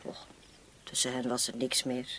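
A woman's voice: a bass voice, completely flat delivery, moderate pace, pushed and bright, breathy edge, heavily acted. A woman acting a curt, guarded housekeeper at an estate in a radio play, cool in manner.

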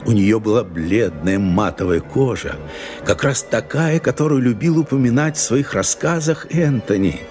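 A man narrating an audio drama as a wry storyteller, with a gravelly voice. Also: on the low side, animated, moderate pace, a little mellow, soft background music, close to an everyday voice. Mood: wry, dramatic. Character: wry storyteller of a detective comedy.

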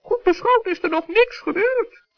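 A man voicing a small, naive gnome in a children's radio play, squeaky, childlike, earnest and dithering. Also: normal speed, creaky, soft background music. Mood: worried dithering. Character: small male gnome, naive, curious, hesitant. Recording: dull 1960s radio recording.

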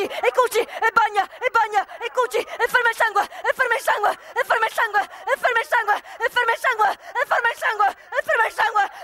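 A woman's voice: very high-pitched, pushed and bright, exaggerated voice. An actress playing a desperate, secretive woman in an urgent, insistent theatrical monologue. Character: desperate, secretive woman doing a crude clandestine procedure.

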